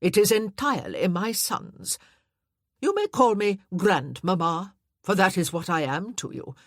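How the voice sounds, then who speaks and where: A woman voicing an imperious elderly dowager countess who speaks bluntly and deliberately. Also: lowish voice, sing-song, no room sound, clean studio sound.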